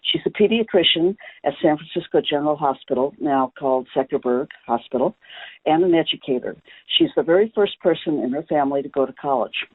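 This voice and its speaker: A man's voice: fairly high pitch, expressive, a little fast, dry recording. Man presenting over a tinny line. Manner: explanatory, with frequent "uh" fillers. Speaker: webinar presenter. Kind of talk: presenting slides in a webinar. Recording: tinny phone-line webinar audio.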